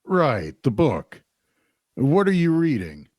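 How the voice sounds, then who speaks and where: Burly tavern keeper, halting, politely unimpressed, swinging pitch, very dry recording, fantasy audio drama. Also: male voice, huge pitch swings, a little mellow, dry recording, full character voice. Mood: awkward, nudging. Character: burly, no-nonsense pub keeper, a man, mildly impatient.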